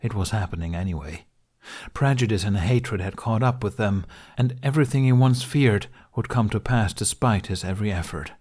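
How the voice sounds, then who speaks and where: A male audiobook narrator with a slightly low voice. He reads scripted fiction in a dramatic storytelling style. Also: moderate pace, a little mellow, breathy edge.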